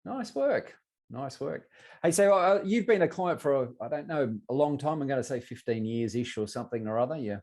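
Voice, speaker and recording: A man's voice: mid-range voice, lively intonation, moderate pace, darkish tone. An Australian man hosting a podcast, chatting casually with a guest in an informal, matey, encouraging way. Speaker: podcast host interviewing a guest. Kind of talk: casual interview chat.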